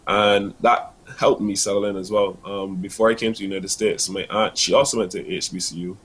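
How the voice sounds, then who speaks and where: Guest: student in his early 20s, Barbadian accent, low-pitched, earnest, halting delivery. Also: flat delivery, fairly bright tone, breathy edge, low-quality recording. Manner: earnest, many fillers and restarts. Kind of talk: casual interview answers.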